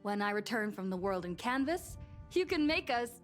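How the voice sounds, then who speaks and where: A woman's voice: mid-range voice, big pitch swings, normal speed, natural voice. An actress voicing a friendly young girl companion in scripted video game dialogue. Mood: cheerful, eager. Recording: game music behind the voice.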